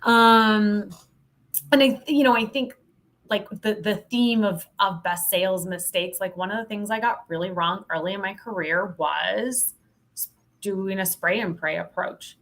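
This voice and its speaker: A woman's voice: medium pitch, a bit bright. A woman speaking as a podcast guest, telling work stories in a candid, emphatic, informal way. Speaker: podcast guest, sales consultant. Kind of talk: conversational interview storytelling.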